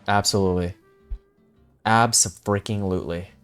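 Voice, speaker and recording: A man giving casual, slangy, expressive live reaction commentary as a game streamer. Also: fairly low pitch, moderate pace. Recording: game music behind the voice.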